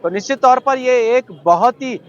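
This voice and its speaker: A man's voice: very high-pitched, moderate pace, smooth. A male news correspondent giving an unscripted live report in formal, repetitive phrasing. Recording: dull, band-limited live link.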